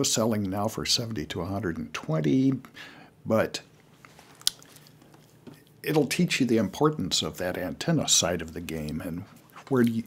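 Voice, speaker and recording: An older man giving casual, unscripted how-to advice to beginners as a hobbyist, in a halting, rambling way with frequent pauses. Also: deep voice, slightly rough.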